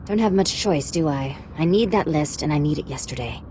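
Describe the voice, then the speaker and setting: A woman's voice: low voice, animated, a little fast, darkish tone, a little hiss or hum. An actress voicing a shrewd, secretive female agent in a sci-fi video game, cool, sly and confident. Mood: urgent.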